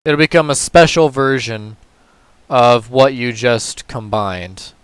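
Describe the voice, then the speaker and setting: A man with a hoarse, tired voice, casually talking through a puzzle game move by move as a gaming channel host. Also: medium pitch, slow pace. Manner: relaxed, talks through moves step by step. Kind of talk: casual gameplay commentary.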